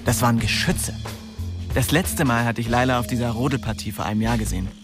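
Casting: male actor for hard-boiled young dealer, brooding first-person radio narration and dialogue, slangy, mid-pitched, music underneath. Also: quick pace, lightly acted. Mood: brooding, wry. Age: young adult. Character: hard-boiled young small-town drug dealer, weary and bitter. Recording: music behind the voice.

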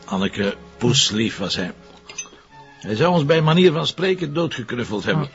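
An actor playing a dry, wry middle-aged police commissioner in a radio drama, with a Flemish accent and a creaky, conversational delivery. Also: male voice, medium pitch, very animated, moderate pace, faint music behind. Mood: affectionate. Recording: dull, muffled radio drama recording.

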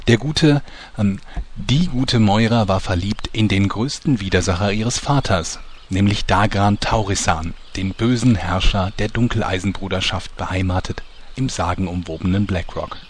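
A man's voice: fairly low pitch, normal speed, a little hiss or hum, close to an everyday voice. A man playing a mock-solemn, easily flustered fairy-tale narrator in a comedy audio drama. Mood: storytelling. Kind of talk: scripted storytelling in German audio drama parody.

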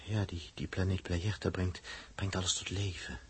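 An actor playing a blunt, agitated space crewman with a husky voice and emphatic, stammering repeats, in 1960s radio drama style. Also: sits low, level tone, moderate pace. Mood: agitated, scolding.

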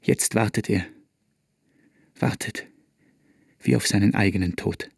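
A man narrates a literary radio play in a grave, deliberate and dramatic way. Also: fairly low pitch, steady tone, gravelly, slightly rough, no room sound, clean studio sound.

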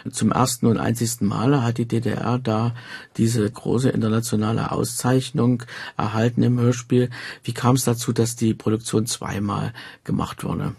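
A man conducting an interview, phrasing his questions in a deliberate, formal and roundabout way. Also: medium pitch, creaky, a little mellow, slightly rough.

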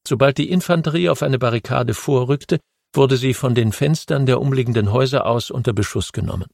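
A man narrating a history audiobook in a measured, steady storytelling manner. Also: mid-range voice, vocal fry, no echo, studio quality.

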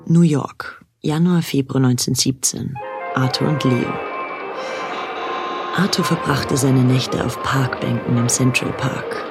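A man as the storyteller of a radio drama, giving sober, measured scripted narration. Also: mid-range voice, steady tone, lightly acted. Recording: music under the narration.

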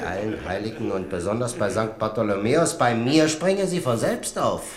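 An actor playing an arrogant, quarrelsome duke in a radio drama, scornful and provocative. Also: male voice, lowish voice, animated, moderate pace, a crowd in the background, close to an everyday voice. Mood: mocking. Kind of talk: German radio drama acting. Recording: echoey hall.

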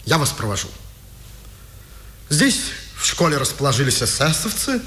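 An actor playing an officious but obliging policeman in a 1950s radio drama, formal and deliberate. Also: on the high side, very animated, background noise. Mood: confiding, grim. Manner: deliberate, official, halting politeness.

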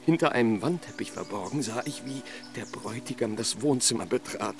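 A man's voice: mid-range voice, expressive, brisk, creaky. An actor playing a heartbroken young nobleman in a radio play, recounting his misfortune in ornate, impassioned words over music. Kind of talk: German radio play acting.

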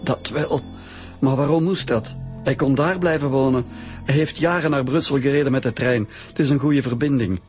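A man's voice: mid-range voice, normal speed, a little mellow, faint music behind, natural voice. An actor playing a plain-spoken, aggrieved married man with a Flemish accent in a radio drama. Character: resentful married brother of a dead man.

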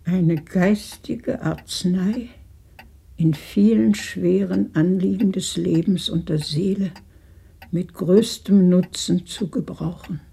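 Low, gravelly, solemn woman. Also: steady tone, slow pace, mellow, exaggerated voice. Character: solemn woman reciting in a radio play. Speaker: secondary narrator and reader. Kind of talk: slow literary recitation.

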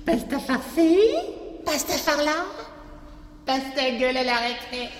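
A woman's voice: sits high, measured pace. An actress playing a mysterious, grandly absurd woman in a podcast drama, portentous and drawn out, in an echoey garage. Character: mysterious theatrical woman grandly announcing silly pasta names. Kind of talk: podcast fiction acting. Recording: distant, echoey car park, faint noise.

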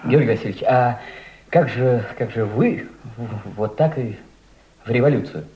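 An admiring young man played by a male actor speaks respectfully and a little hesitantly at a medium pitch. He is earnest and eager, in an old radio drama with dull, muffled sound. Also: moderate pace, a little hiss or hum, lightly acted. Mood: amazed, hesitant. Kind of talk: Soviet radio drama acting.

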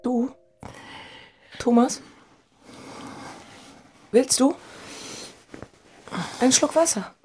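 A woman's voice: high voice, drawn-out words, pauses often, a little mellow, faint music behind. An actress playing an emotional, infatuated single city woman in an intimate audio drama. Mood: shy, tender.

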